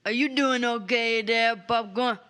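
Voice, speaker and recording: A woman's voice: on the high side, steady tone, a little slow, a bit bright, clear, resonant tone. A woman voicing an earnest, folksy adventurer in a tabletop game, speaking in simple, hesitant phrases with broken grammar. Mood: concerned, kind.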